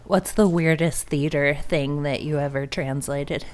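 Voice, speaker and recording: A woman co-hosts an arts podcast. Her voice sits a little low, and she asks her questions in a brisk, friendly way. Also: steady tone, a little hiss or hum. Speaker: podcast co-host. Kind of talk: casual interview chat.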